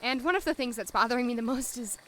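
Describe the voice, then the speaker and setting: Woman playing an anxious, high, bright-voiced female doctor. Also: a little hiss or hum. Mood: uneasy. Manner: halting, stammering, on edge. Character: anxious, defiant young female doctor, haunted and agitated. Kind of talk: audio drama acting.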